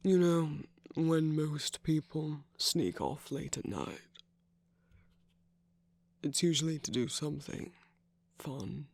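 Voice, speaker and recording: A seductive, protective villain acted out by a man soothes a lover in an intimate ASMR romance. The voice is slightly high for a male, husky and breathy, often close to a whisper, with a crackly fry. The delivery is slow and tender. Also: darkish tone, no room sound, full character voice. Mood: gently concerned. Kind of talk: ASMR romantic roleplay audio.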